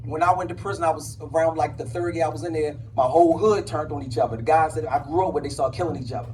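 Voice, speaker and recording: A man's voice: a little high, quick pace, darkish tone, slight background noise. A man in his late forties giving an impassioned personal testimony to a gathering, emphatic and preacherly, with street slang. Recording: distant mic at a live event.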